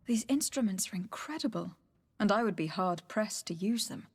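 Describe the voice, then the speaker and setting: An actress playing a noble, principled young diplomat in a video game, speaking in a poised, formal and articulate way. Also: female voice, mid-range voice, animated, normal speed, darkish tone, slightly rough, dry recording. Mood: composed, skeptical.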